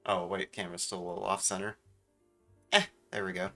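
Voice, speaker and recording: A man's voice: low voice, gravelly, pushed and bright. A man streaming a video game with casual, joking commentary and mock indignation.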